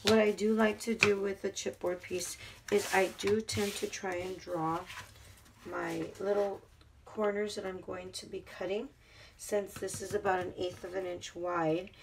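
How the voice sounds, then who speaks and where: A woman hosting a craft tutorial, talking casually while she works with her hands, her voice hoarse. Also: medium pitch, background noise. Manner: chatty, talks while working with her hands. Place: craft table at home.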